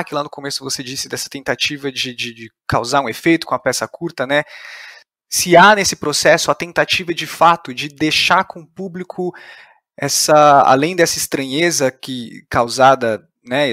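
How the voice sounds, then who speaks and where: A Brazilian man with a fairly high voice interviews in a conversational tone. He builds his questions tentatively and in a roundabout way, recorded very dry with no room sound. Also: sharp tone, no echo. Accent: Brazilian Portuguese. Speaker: podcast host, interviewer.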